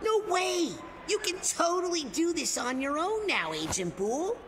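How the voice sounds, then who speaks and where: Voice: very high voice, very animated, normal speed, slight background noise. An actor voicing an eager, earnest young boy hero in a cartoon video game. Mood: eager, reassuring.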